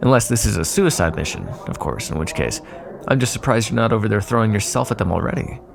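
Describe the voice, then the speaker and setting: Sly vampire rival, played by a male actor: lowish gravelly voice, sarcastic and teasing, very animated pitch, intimate ASMR roleplay. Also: quick pace, over music. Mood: wry, coaxing. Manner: sarcastic, teasing, drawling asides.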